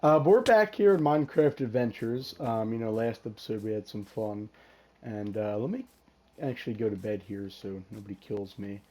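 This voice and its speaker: Hoarse, rambling male gaming host. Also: on the low side, normal speed, mellow. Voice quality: rough. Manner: rambling, many fillers and restarts. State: hoarse, losing his voice from illness. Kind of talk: casual gameplay commentary.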